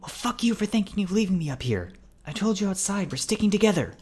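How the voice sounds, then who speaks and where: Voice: medium pitch, expressive, brisk, slightly rough, voice pushed up. A man voicing an anxious, stubborn animal protagonist in an audio story, speaking casually with tense urgency. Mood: annoyed.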